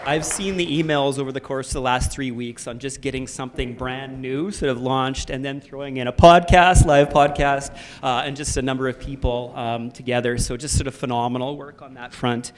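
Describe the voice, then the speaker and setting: A man's voice: medium pitch, fairly even intonation, moderate pace, few pauses, slight background noise. A man with a Canadian accent, introducing a festival panel as its moderator in a loose, filler-heavy way. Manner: conversational, many fillers and "sort of".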